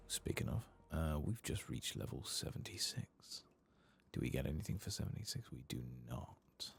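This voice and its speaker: A man speaks in an extremely deep, soft voice that crackles heavily with vocal fry. He murmurs an unhurried, musing ASMR commentary over a game, sometimes slipping toward a whisper. Faint noise sits behind him. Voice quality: soft, hushed, breathy at times. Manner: unhurried, musing, murmuring to himself while playing. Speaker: gaming video creator.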